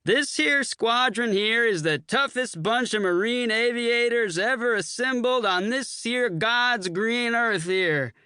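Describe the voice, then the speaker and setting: A man voicing a loud, rowdy Marine major with a Texas accent, bellowing and folksy. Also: a little high, animated, unhurried, vocal fry, smooth, no room sound, studio quality, full character voice.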